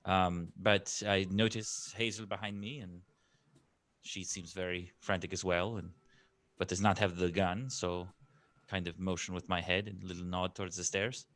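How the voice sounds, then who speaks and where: Male tabletop player, low pitch, hesitant phrasing, improvised roleplay, dry close recording. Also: level tone, moderate pace, a bit bright, dry recording.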